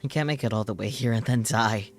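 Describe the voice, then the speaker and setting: A man reading visual novel text aloud as a streamer, in dramatic, intense narration with measured short phrases. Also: on the low side, a little hiss or hum. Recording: music behind the voice. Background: loud game music.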